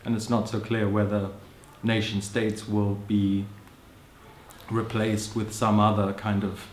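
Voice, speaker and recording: A man in his thirties who grew up in Hong Kong, speaking as an interviewee in a thoughtful, musing way, often adding "you know" and soft laughs. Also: fairly low pitch, little pitch movement, slow, a little hiss or hum. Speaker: interviewee, social anthropologist. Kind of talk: reflective personal account. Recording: roomy echo.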